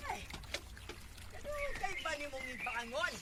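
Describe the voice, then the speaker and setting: Shrill-pitched scolding Bisaya mother, noisy audio. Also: lively intonation, moderate pace. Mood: shocked, scolding. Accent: Filipino, Cebuano Bisaya. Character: alarmed, scolding, insistent middle-aged Filipino mother. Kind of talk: Bisaya radio drama acting. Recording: very noisy audio with ambient sound.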